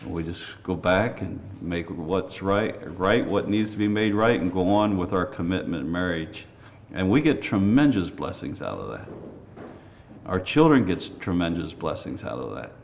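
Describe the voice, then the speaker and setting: Older male preacher, low voice, slight rasp, earnest folksy sermon delivery. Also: expressive, normal speed, slight background noise. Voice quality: raspy. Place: church.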